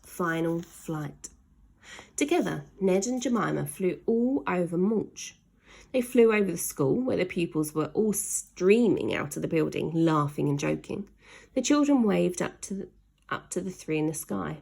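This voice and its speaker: A woman reading a children's book aloud as an expressive storyteller. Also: lowish voice, unhurried, gravelly, breathy edge.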